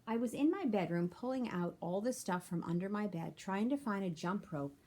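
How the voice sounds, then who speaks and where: A woman's voice: medium pitch, lively intonation, moderate pace, a little mellow. A woman reading a children's chapter book aloud like a teacher, with simple explanatory asides for young listeners.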